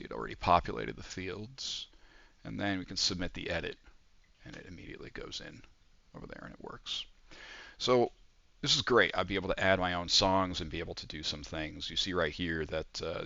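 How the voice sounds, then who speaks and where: Male student presenter, low gravelly voice, informal and halting demo delivery, slightly muffled audio. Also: bright, forceful tone. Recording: muffled, echoey room recording.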